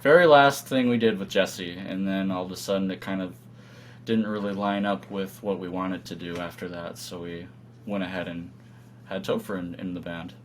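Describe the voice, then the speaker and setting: Male band guest, lowish voice, casual chat, faintly noisy humming recording. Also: steady tone, moderate pace. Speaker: band guitarist being interviewed. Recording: clear background hum and noise.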